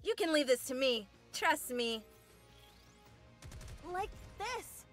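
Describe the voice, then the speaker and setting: Excitable game heroine, very high bright voice, swooping, boastful, theatrical. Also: female voice, slow, full character voice. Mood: confident, excited. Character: cocky, excitable young woman fighter. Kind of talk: video game battle lines. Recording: game audio with music behind.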